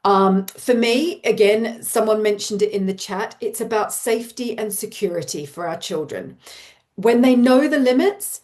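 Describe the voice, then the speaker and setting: A woman in her forties with an Australian accent, a parenting coach giving an online workshop in a warm, reassuring, chatty way with fillers. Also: mid-range voice, normal speed.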